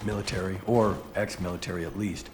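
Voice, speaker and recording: An actor playing a tough younger American cop and loyal son in a game cutscene, dry and clipped. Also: male voice, deep voice, fairly even intonation, a little fast, long reverb tail, background noise, lightly acted. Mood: serious. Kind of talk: video game cutscene acting. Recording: music under the dialogue.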